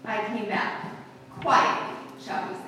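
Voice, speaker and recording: A vengeful, mocking rich wife from a vintage radio crime play played by a woman. She speaks in an arch, deliberate way, fairly high in pitch, distant in a hall with faint noise. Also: sharp tone. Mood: coolly mocking. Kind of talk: 1940s radio drama acting.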